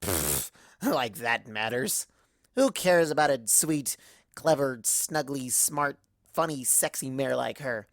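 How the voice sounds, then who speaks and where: A woman voicing a tipsy, bitter young female cartoon unicorn with a sarcastic, halting drawl. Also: rumbling low, sing-song, no room sound, clean studio sound, pitched below the performer's own voice, exaggerated voice.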